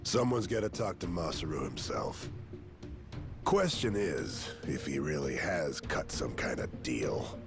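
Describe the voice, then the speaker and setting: A steadfast middle-aged tough in a video game drama. His voice is very low and he speaks slowly, blunt and stern. There is music underneath. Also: expressive, gravelly, full character voice. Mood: stern, level.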